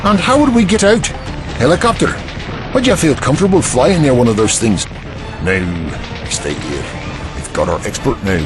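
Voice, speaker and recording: A man's voice: mid-range voice, big pitch swings, moderate pace, natural voice. Guy doing a brusque scottish prime minister, sarcastic and impatient, swingy delivery, music blaring behind. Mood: impatient, urgent. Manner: impatient, sardonic, commanding. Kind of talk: audio drama acting.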